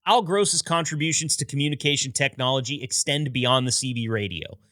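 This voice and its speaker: A man's voice: mid-range voice, a little fast, sharp tone, dry recording, studio quality. A man hosting a podcast, reading a scripted history narration in an upbeat storytelling manner with wry asides. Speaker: podcast host.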